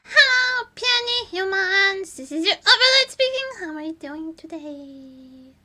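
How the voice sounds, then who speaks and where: A woman's voice: very high-pitched, lively intonation, unhurried, bright, forceful tone. A woman hosting a casual livestream chat, rambling and repeating herself in a playfully theatrical way, her voice strained from screaming and crying. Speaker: VTuber livestream host.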